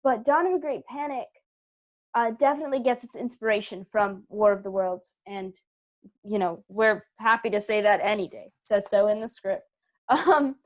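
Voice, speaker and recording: A woman giving casual interview answers as a guest, thoughtful and emphatic as she clarifies point by point. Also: medium pitch. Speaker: interview guest, theatre company member and writer.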